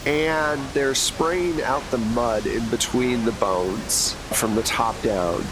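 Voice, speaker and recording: A man hosting and narrating a tabletop game as game master, in a relaxed, filler-heavy way. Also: mid-range voice, takes it slowly. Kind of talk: tabletop actual play narration. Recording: noisy home mic with hiss.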